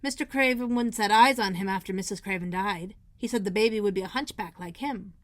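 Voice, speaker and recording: A woman's voice: mid-range voice, fairly quick, dry recording, lightly acted. A woman voicing an anxious, plain-spoken young housemaid, fretful and gossipy, in a children's storybook.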